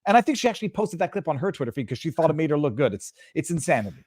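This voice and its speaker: A man's voice: fairly high pitch, very animated, racing, darkish tone, dry recording, clean studio sound. A man from Montreal, giving emphatic, indignant political commentary on a remote call, often stumbling and restarting. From Montreal, Canada. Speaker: guest commentator.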